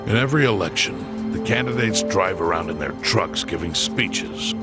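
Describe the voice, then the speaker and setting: Composed calculating chairman type, low voice, measured and persuasive, crime game cutscene, loud music behind. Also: animated. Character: shrewd, composed male chairman, a calculating schemer. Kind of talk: dramatic game cutscene acting.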